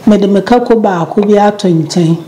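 An older woman from Ghana calmly talks through a home recipe in Twi, taking her time over each step. Her voice is at a middling pitch. Dishes and pans clatter clearly around her in the kitchen. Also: brisk, a little hiss or hum, poor audio. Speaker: home cook. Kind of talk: cooking demonstration.

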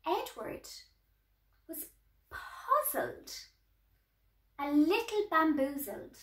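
A woman in her thirties with an Irish accent, reading a children's storybook aloud in a deliberate, sing-song way while signing. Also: high-pitched, very animated, fairly bright tone, far from the mic. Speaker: sign language tutor reading a storybook. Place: small indoor room at home. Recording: echoey small room.

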